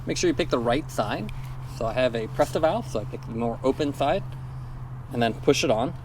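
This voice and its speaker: A man's voice: mid-range voice, animated, brisk, noisy background. A man giving a casual, plain-spoken product review, explaining and demonstrating features in a matter-of-fact way.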